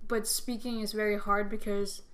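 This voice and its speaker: A woman's voice: medium pitch, level tone, moderate pace, fairly bright tone. Russian-accented young woman, hesitant. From Siberia, Russia. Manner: hesitant, searches for words, laughs easily. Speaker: guest. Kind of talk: casual chat.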